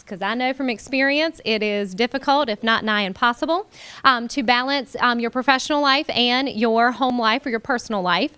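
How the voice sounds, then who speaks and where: Clear-voiced woman projecting a teacher voice. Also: high-pitched, fairly bright tone. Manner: projected teacher voice, many ums. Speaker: college instructor opening a panel. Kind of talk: event welcome speech. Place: warm college room with an audience.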